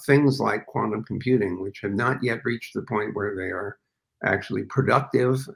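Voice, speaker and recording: A man's voice: lowish voice, gravelly, rough recording. An older man speaking as an interview guest over a video call from a home office, explaining thoughtfully with frequent fillers. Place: home office with framed pictures, indoors. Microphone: video call webcam.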